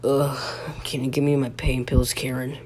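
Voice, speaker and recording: A man playing a sick, halting local radio host who reads breaking news in an amateur audio drama. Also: mid-range voice, flat delivery, a little mellow, close to an everyday voice. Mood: weary, unwell. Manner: halting, stumbling, breaking off to apologize. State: sick, coughing. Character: ailing male local radio news host, dutiful but fading. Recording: noisy home recording with hum.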